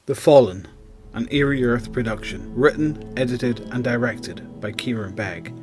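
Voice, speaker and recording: A man narrating an audio drama in a solemn, deliberate, dramatic scripted style. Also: mid-range voice, darkish tone. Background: music.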